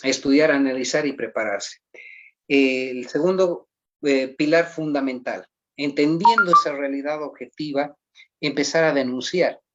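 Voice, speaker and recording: A man answering interview questions at length in a measured, formal way, often pausing with "eh". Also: mid-range voice, a bit bright, slight background noise. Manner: measured, formal, frequent "eh" pauses. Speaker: guest. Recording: dull lo-fi audio.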